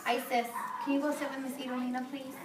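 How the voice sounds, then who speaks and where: A woman teacher reading a picture book aloud to young children, pausing to ask questions and gently correct in simple classroom phrases. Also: sits high, level tone. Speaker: preschool or early grade teacher. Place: classroom with children, recorded from a distance. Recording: echoey room, distant mic, noisy background.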